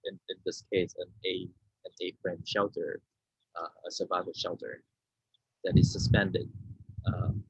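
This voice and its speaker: Husky guy in his 30s from vietnam, hesitant, feeling for words, dull video call sound. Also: low-pitched, flat delivery, unhurried, long pauses. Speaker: artist being interviewed. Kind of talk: informal talk about own artwork. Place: indoor room, on a video call. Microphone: video-call microphone. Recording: muffled, roomy video-call audio.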